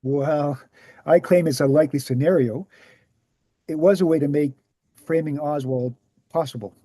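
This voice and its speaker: A man reading the scripted part of a measured author who answers questions at a talk, deliberate and explanatory. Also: on the high side, mellow, no room sound, clean studio sound, natural voice. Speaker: actor in a screenplay table read. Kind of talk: scripted dialogue read aloud.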